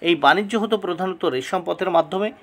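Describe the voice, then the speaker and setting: A man's voice: high voice, fairly quick, fairly bright tone. A man tutoring for history exams, reading questions and model answers aloud in a didactic way, announcing each item and repeating key terms.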